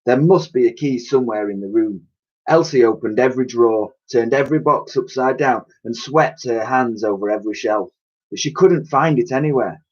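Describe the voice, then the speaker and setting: Husky man reading a children's story. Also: mid-range voice, normal speed, dull audio. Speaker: primary school teacher reading aloud. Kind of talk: expressive children's storybook narration.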